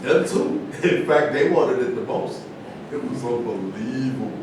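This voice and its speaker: A man's voice: medium pitch, lively intonation, unhurried, close to an everyday voice. A man reading the part of a boastful, defensive former boxer in a staged play, recorded in a noisy, echoing room from a distance. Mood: defensive, bragging. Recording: echoey noisy room, far from mic.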